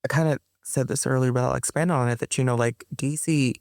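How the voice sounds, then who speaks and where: A woman's voice: extremely low pitch, fairly even intonation, brisk, a little mellow, no echo, studio quality. A woman author and performer answering interview questions in a loose, rambling way, full of ums and restarts, with a playful streak. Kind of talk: casual interview talk.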